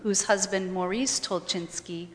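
A woman academic answering off the cuff in a halting, thoughtful way. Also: medium pitch, steady tone. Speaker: guest history professor. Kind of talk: extemporaneous question and answer talk. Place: large hall. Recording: reverberant hall, faint noise.

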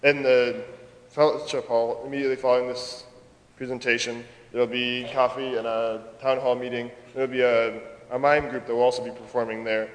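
Guy doing event announcements, medium pitch, kinda halting, really echoey hall. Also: flat delivery. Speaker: event host giving closing announcements. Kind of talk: formal closing announcements, somewhat halting. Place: large echoey hall, public convocation. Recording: echoey hall, long reverb.

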